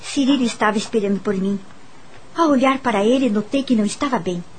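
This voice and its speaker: A woman's voice: fairly high pitch, fast talker, a little hiss or hum, natural voice, low-quality recording. A woman playing a curious, uneasy young teacher in a Brazilian radio drama, narrating her own story expressively. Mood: measured storytelling. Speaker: lead actress and narrator.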